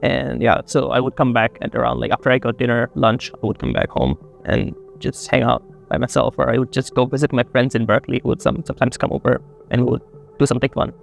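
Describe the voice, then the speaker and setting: A young man from Bangladesh, a college student, answering interview questions in a relaxed, rambling way with lots of fillers. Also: mid-range voice, fast talker, vocal fry, soft background music. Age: young adult.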